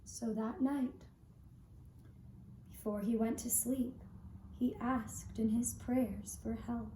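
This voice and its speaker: A woman narrating a children's fairy tale as a puppet show storyteller, deliberately, with long pauses between phrases. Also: fairly high pitch, fairly even intonation, dark tone, slight background noise. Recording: roomy, echoey sound.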